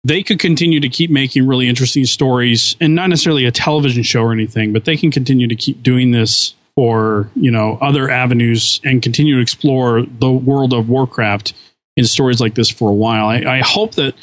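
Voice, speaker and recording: Male podcast co-host, mid pitch, enthusiastic and rambling, dry close mic. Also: brisk, no echo. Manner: enthusiastic, rambling with frequent restarts. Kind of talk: casual podcast chat.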